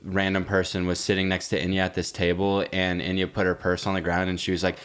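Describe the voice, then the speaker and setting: A man with a low-pitched voice co-hosts a chatty podcast. His talk is casual and slangy, full of comic overstatement and loose storytelling. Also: monotone, quick pace, fairly bright tone, clear, resonant tone. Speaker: podcast co-host. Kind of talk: casual chat.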